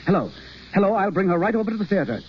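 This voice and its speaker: A man's voice: high-pitched, sing-song, rapid-fire. A man playing a commanding, urgent acting coach in the manner of 1930s radio drama. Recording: muffled, hissy 1930s radio recording.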